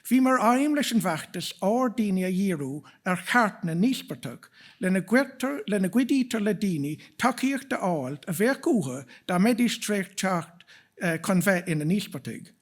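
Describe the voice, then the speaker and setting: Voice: medium pitch, lively intonation, moderate pace. A speaker with an Irish accent, hosting an event and presenting in a formal but live style. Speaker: event host. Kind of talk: bilingual event presenting to an audience.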